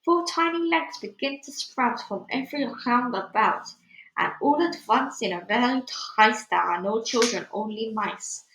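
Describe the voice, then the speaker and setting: Storybook villain: woman playing an old witch leader, gloating and commanding, high-pitched in an echoey room. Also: a little slow, a little hiss or hum. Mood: gleeful, chanting. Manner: shouting, commanding, gloating, broken grammar.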